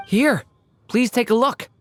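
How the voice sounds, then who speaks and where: A woman voicing a gentle, earnest, polite young child in a video game, speaking softly. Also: a little high, unhurried, soft background music, lightly acted.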